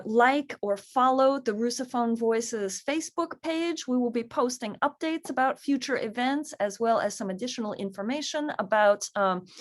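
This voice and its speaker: Formal woman moderator, fairly high voice, measured and steady, on a video call. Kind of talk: moderating a panel.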